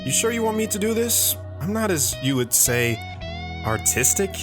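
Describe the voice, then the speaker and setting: A man's voice: high voice, big pitch swings, normal speed, music in the background. An actor playing an awkward, apologetic teenage boy. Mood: unsure. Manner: apologetic, unsure.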